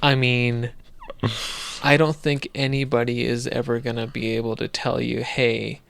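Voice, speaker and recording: A man talking loosely as a podcast co-host, full of ums and restarts. His voice has a gravelly edge. The sound is dry and close-miked. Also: mid-range voice, little pitch movement, unhurried, fairly bright tone, no echo. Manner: rambling, lots of fillers and restarts. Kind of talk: casual podcast chat.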